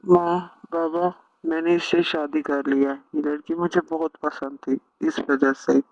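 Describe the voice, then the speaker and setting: A man's voice: on the high side, flat delivery, measured pace, no echo. A man voicing a dutiful, respectful adult son in a family cartoon, earnest and deferential. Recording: dull lo-fi audio.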